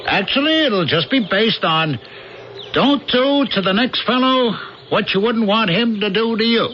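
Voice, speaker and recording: A man's voice: high-pitched, lively intonation, a little slow, noisy background. An actor with an American accent playing a disillusioned working man cast away and taken for a god, in a 1980s radio drama style. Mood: commanding. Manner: plain-spoken American everyman, colloquial. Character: disillusioned castaway worker mistaken for a god, gruff everyman. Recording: dull 1980s radio broadcast, loud music behind.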